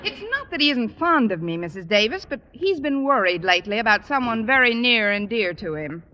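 A woman playing a dry-witted schoolteacher who narrates and trades deadpan wisecracks in a 1950s radio sitcom. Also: fairly high pitch, a little fast. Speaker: English teacher and narrator. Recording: dull old radio broadcast recording.

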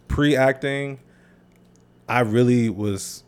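A man's voice: mid-range voice, very slow, smooth. Laid-back young man, lots of "like". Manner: relaxed, reflective, heavy use of "like". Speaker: guest, actor and filmmaker. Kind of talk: casual interview chat.

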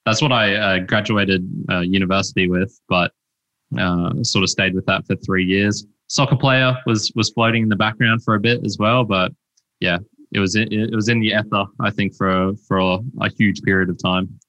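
Hesitant man, podcast co-host, bright-toned. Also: fairly low pitch, fairly even intonation, dull audio. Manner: hesitant, halting speech. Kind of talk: casual chat.

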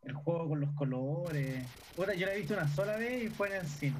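A man's voice: on the high side, animated, a little fast, noisy background. A man chatting on a podcast, enthusiastic and opinionated about what he watches. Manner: enthusiastic, opinionated fan talk. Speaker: podcast co-host. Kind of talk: casual podcast chat.

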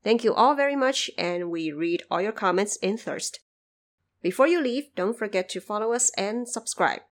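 A woman's voice: mid-range voice, animated, moderate pace. A woman from China hosting an explanatory podcast, speaking in an informal, wry way. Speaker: podcast host. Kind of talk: explanatory podcast commentary on folklore.

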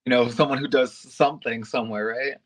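Male guest, casual storyteller, animated, filler-heavy, quick to laugh. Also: medium pitch. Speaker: interview guest, aerialist and go-go dancer.

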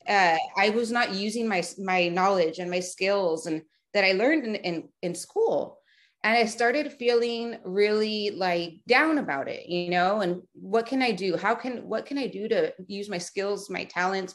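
A woman's voice: medium pitch, fairly bright tone. A woman in her thirties from South Texas, a podcast guest talking in a chatty way with many fillers and restarts. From Rio Grande Valley, South Texas. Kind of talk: casual remote interview.

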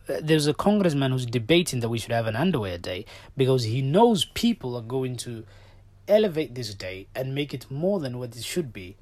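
Man, native Somali speaker, solo podcast host; opinionated, jumps between loud jabs and quiet asides, dry voice. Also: dry recording. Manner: opinionated, swings from loud outbursts to low muttered asides.

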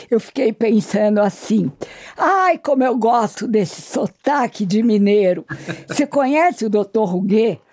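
Gushing woman interviewer, mellow tone. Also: on the high side. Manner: gushing, affectionate praise.

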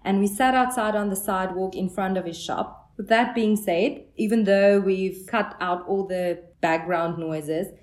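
A woman hosting a casual podcast interview, recorded outdoors on a sidewalk by a coffee shop, full of praise for her guest. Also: on the low side. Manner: effusive, frequent warm praise. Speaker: podcast host.

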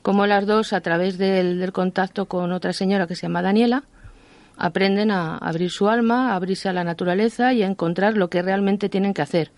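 A woman's voice: mid-range voice, steady tone, brisk, darkish tone, no echo. A woman from Madrid with a Madrid accent, a guest author chatting in a radio interview and acting out the voices she quotes. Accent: Madrid Spanish. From Madrid, Spain.

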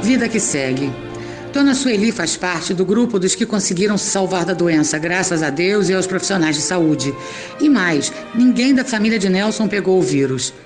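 A woman's voice: lowish voice, animated, normal speed, over music. A woman with a Brazilian accent reading scripted narration as the narrator of an audio drama.

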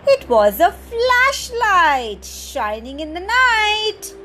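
High, lively woman reading stories to kids. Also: a little hiss or hum. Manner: slow, animated, sing-song storytelling with sound effects. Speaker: children's storyteller podcast host. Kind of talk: reading a picture book aloud to children.

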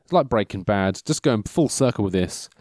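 A man's voice: fairly low pitch, animated, moderate pace, a little mellow, no room sound. A man in his mid-thirties chatting casually in a meandering, self-interrupting way, losing his train of thought, with dry self-mocking humour. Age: 30s. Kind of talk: rambling casual monologue.

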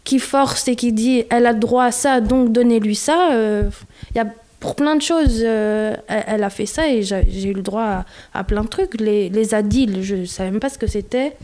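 A young woman from Belgium speaks in a casual conversation, her voice fairly high but mellow and soft. She talks candidly, pausing often with fillers as she gathers her thoughts. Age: 20s. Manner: candid, hesitant, with many fillers. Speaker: guest.

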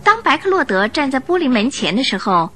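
A woman reading scripted story narration for a radio drama in an expressive way. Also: sits high, a little fast, soft background music.

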